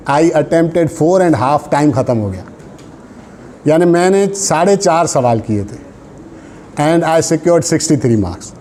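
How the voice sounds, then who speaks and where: Mellow, higher-pitched Pakistani male lecturer. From Sindh, Pakistan. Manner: instructive, checks understanding with short tags; switches into English terms and phrases. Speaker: law exam coach. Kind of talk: informal classroom lecture with exam advice.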